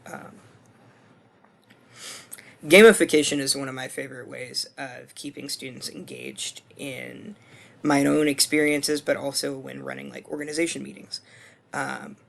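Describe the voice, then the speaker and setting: A hoarse man in his twenties with a deeply gravelly, fry-laden voice at an ordinary pitch for a man. He talks through his course screencast in a loose, hesitant way, stopping for ums and restarting his sentences. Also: animated, unhurried, fairly bright tone. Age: 20s. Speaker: student.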